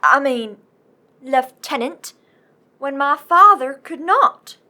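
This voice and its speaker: A woman's voice: high-pitched, lively intonation, takes it slowly, bright, forceful tone, exaggerated voice. A woman playing a sweet, genteel young fiancée in a radio play, hesitant in her speech. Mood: amazed. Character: breathless, sweet young fiancée, daughter of manor.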